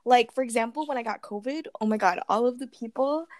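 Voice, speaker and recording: A young woman with a fairly high voice hosts a casual question-and-answer session. She rambles in a bubbly way, peppering her talk with fillers, and giggles often. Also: brisk, noisy background. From Philippines. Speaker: host. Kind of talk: casual Q&A chat.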